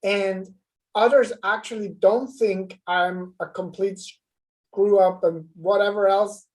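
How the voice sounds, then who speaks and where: A woman's voice: mid-range voice, fairly even intonation, slow, rough recording. Earnest woman talking about fear of flying. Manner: earnest, emotional, many repeated words. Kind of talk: live web show chat.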